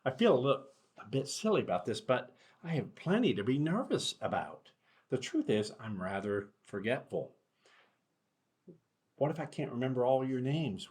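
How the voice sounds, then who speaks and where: A man voicing a nervous, forgetful female armadillo schoolteacher in a halting, sheepish storybook read-aloud. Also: medium pitch, pitched above the performer's own voice, natural voice.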